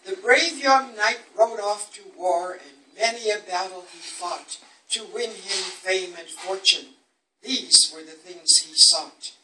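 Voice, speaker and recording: Female poetry reader, unhurried dramatic storytelling, roomy distant live recording with faint noise. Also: mid-range voice, sharp tone. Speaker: poet reading at a book launch. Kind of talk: recited rhyming poetry reading. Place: indoor book launch event. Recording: echoey room, distant mic, faint noise.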